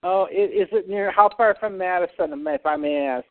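A man's voice: high-pitched, normal speed. A man calling in to a radio show, asking the guest a string of probing questions. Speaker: caller. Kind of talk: phone-in question. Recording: tinny phone line.

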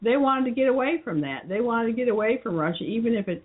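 A woman hosting her own show, talking through an unscripted commentary in a halting way with many fillers and restarts. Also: fairly high pitch, lively intonation. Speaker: show host. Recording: muffled phone-line audio.

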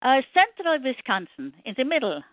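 A woman's voice: sits high, very animated, moderate pace, no room sound. An older woman with a German accent, a radio guest telling about her past with many fillers and restarts. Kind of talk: interview conversation. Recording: tinny phone line.

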